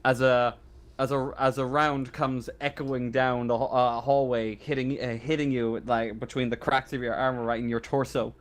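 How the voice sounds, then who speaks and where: A man narrating a tabletop game as game master, halting and often repeating his phrases as he improvises. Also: mid-range voice, level tone.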